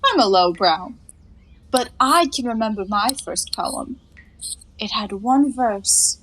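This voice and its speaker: High-voiced flippant young flapper. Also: female voice, animated, slow pace, a bit bright, slight background noise, poor audio. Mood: playful. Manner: breezy, bantering, playfully sarcastic. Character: flippant, quick-witted young flapper woman, teasing and evasive. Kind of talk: 1920s comedy audio play.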